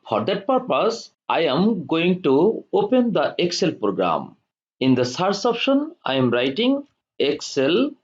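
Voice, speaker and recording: A man narrating a step-by-step software tutorial in short, deliberate instructional phrases. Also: sits high. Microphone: voice-over for screen recording. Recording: dull lo-fi voice-over.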